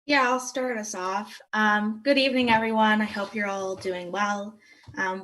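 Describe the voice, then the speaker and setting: Young woman, twenties, grad-student presenter on a call, earnest with fillers, mid pitch, distant mic. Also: fairly bright tone. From Eastern Pennsylvania. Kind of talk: project presentation on a video call. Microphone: video call.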